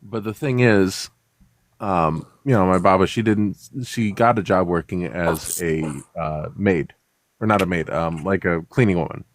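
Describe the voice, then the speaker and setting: A man's voice: low voice, measured pace. A man with a Chicago accent, Russian his first language, telling stories as a guest in casual talk, full of fillers and restarts. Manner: rambling storyteller, many fillers and restarts. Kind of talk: casual conversation.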